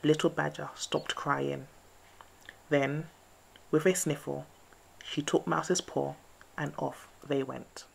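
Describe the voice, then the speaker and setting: A woman storyteller reading a children's picture book aloud in an expressive, scripted bedtime-story style. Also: low-pitched, takes it slowly, creaky, pauses often, slight background noise, low-quality recording.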